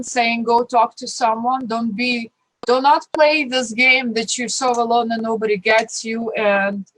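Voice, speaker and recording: A woman from Ukraine with a Ukrainian accent, a guest talking earnestly and warmly about her life, rambling and restarting her sentences. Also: fairly high pitch, slight background noise, poor audio. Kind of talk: casual interview talk, personal advice.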